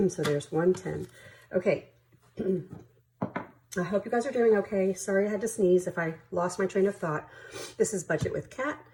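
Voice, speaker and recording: A woman's voice: medium pitch, moderate pace, long pauses, dark tone. A woman hosting her own budgeting vlog, stuffy from allergies, chatting casually and counting money aloud. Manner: friendly, apologetic, laughs easily, counts money aloud. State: congested with allergies, sniffling and coughing. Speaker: budgeting channel host.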